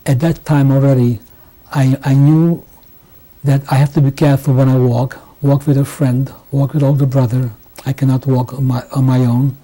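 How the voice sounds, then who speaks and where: A man in his sixties from Hungary, giving oral history testimony in a halting way, with many restarts and pauses. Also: mid-range voice, level tone, warm tone, low-quality recording. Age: 60s. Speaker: interviewee. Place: home interview.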